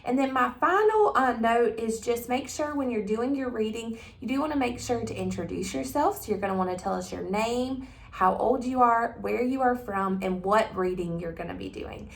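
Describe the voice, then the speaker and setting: A woman presenting in an instructive, expressive way, as for a tutorial or a storybook reading. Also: a little high, normal speed, vocal fry. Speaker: 4-H agent, presenter. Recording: roomy echo, distant microphone.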